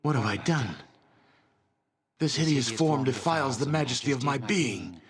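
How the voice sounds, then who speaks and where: An actor playing a proud, domineering barbarian chieftain with a dragon's spirit in a video game, speaking with gravelly, imperious contempt. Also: male voice, mid-range voice, lively intonation, normal speed, crowd noise, natural voice. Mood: anguished, furious. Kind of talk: dramatic video game voice acting.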